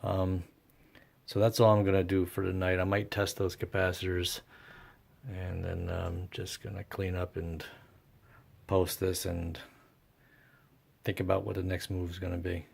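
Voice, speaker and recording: This is a man with a very low voice and plenty of fry in it. He narrates a workbench job casually and without a script. His delivery is halting, as if working out each step aloud while he goes. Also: completely flat delivery, darkish tone. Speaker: host of a radio repair vlog.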